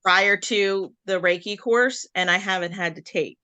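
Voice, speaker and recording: A woman's voice: medium pitch, a little slow, bright, forceful tone, clear, resonant tone, no echo. A woman guest in a casual video-call interview, talking earnestly with frequent fillers and restarts. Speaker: guest, occupational therapist and Reiki practitioner.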